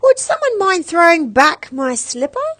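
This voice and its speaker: Woman putting on a gruff, grumpy old man. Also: extremely high pitch, big pitch swings, unhurried, pushed and bright, voice pushed up, heavily acted. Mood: softened, polite. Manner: gruff, barking. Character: grumpy, reclusive old male neighbour, gruff and irritable. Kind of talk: read-aloud children's storybook.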